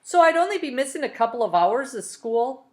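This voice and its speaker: A woman's voice: high voice, sing-song, a little fast, voice pushed up. A woman voicing a curious schoolboy in a storybook, tentative and earnest, questioning yet eager to get away. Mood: curious, hesitant.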